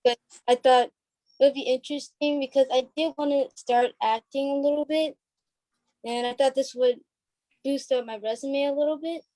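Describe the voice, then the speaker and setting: A woman's voice: high-pitched, steady tone, normal speed, mellow, no echo. A young woman in her teens chatting informally as an interview guest, a bit hesitant. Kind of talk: casual chat.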